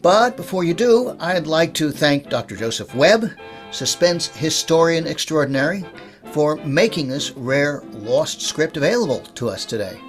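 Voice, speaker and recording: A man's voice: fairly high pitch, very animated, moderate pace, faint music behind. A man speaking as a host, introducing a program and interviewing a guest. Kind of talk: podcast-style introduction and interview.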